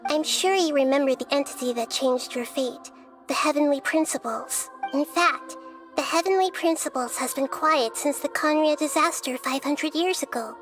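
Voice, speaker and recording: A woman voicing a calm, thoughtful young girl who is a goddess of wisdom in a game, speaking softly and in measured phrases. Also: high-pitched, soft background music, close to an everyday voice. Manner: soft, measured, explanatory. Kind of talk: video game dialogue.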